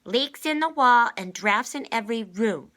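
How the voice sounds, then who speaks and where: A woman's voice: on the high side, expressive, normal speed, a bit bright, voice pushed up. A woman voicing a cranky, stubborn little girl in a storybook, grumbling and curt.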